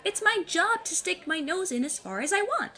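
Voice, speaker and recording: A woman's voice: extremely high pitch, very animated, moderate pace, bright, forceful tone, exaggerated voice. A woman playing a plucky, cocky fifteen-year-old girl in an audio drama, self-assured and formally worded. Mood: stubborn, earnest.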